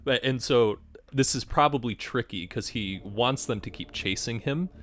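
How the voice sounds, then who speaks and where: A man's voice: medium pitch, moderate pace. A man casually talking through his moves as a player in a tabletop game podcast, thinking aloud hesitantly.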